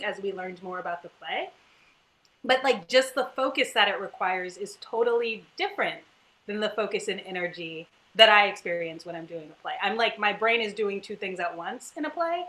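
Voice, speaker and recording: Female panelist, bright forceful voice at medium pitch, earnest, thinking aloud in casual chat. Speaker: stage actor on a panel.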